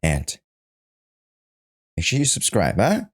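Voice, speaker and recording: Low-voiced man rambling on a game stream. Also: sing-song, slow. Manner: rambling, reads game dialogue aloud along with it. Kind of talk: casual live-stream commentary. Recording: game music behind the voice. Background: game music and dialogue.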